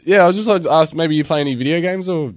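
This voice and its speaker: A man's voice: fairly high pitch, no echo. A man with an Australian accent hosting a casual radio interview, asking questions with lots of ums and restarts. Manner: halting questions with ums, chatty.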